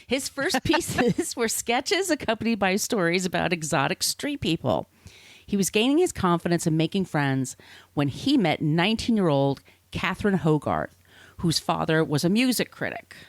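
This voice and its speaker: Low-voiced woman, dry close sound. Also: sing-song, moderate pace, dry recording. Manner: irreverent, casually profane. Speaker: podcast host. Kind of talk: scripted narration with casual chatty asides.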